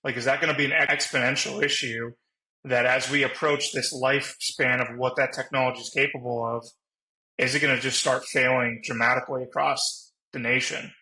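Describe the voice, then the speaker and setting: A man's voice: medium pitch, level tone, fairly quick, bright, forceful tone, low-quality recording. A man who flies as a pilot, chatting casually as a panelist on a podcast.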